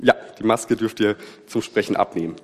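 A man leading a church service as pastor, relaxed and joking. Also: fairly low pitch, fairly quick. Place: church hall. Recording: reverberant church hall.

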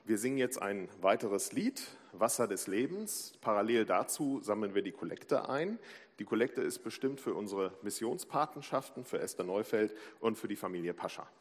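A man's voice: on the low side, animated, normal speed. A man leading a church service, asking baptism candidates brief, friendly questions over a microphone in a church hall. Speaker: service moderator. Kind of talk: quick ice-breaker interviews in a church service. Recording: reverberant hall with clear background noise.

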